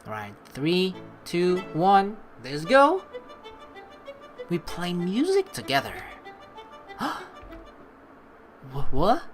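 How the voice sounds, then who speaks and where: A man's voice: high voice, drawn-out words, pauses often, faint music behind. A young man giving chatty let's play commentary, reading game text aloud and reacting to it. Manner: animated, chatty, reacting as he reads.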